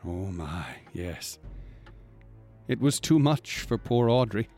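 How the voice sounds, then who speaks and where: A man playing a grave storyteller in a radio drama, narrating in a measured, ornate and ominous manner. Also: on the low side, lively intonation, a little mellow, exaggerated voice. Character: grave storyteller recounting a grisly tragedy. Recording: music behind.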